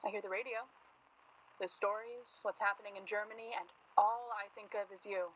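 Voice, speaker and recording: A woman playing a worried yet tender, teasing 1940s journalist in a naturalistic radio play, with a husky voice. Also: medium pitch, fairly even intonation, normal speed, fairly bright tone, slight background noise, lightly acted. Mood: anxious. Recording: dull lo-fi recording.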